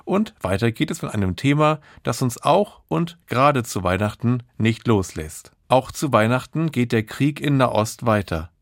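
A man's voice: medium pitch, animated, normal speed, few pauses, no room sound, clean studio sound. A man hosting a radio programme, presenting scripted introductions and links. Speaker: radio host.

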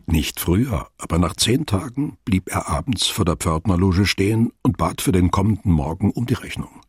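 A man narrating a literary radio play as a sober, observant storyteller with a detached, deliberate delivery. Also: low-pitched, lively intonation, slightly rough, no room sound, exaggerated voice.

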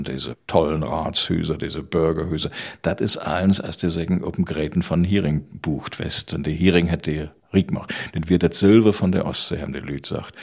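A man in his sixties with a northern German Low German accent, telling anecdotes vividly in a conversational interview. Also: vocal fry, husky. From island of Rügen, northern Germany. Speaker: playwright and storyteller, interview guest.

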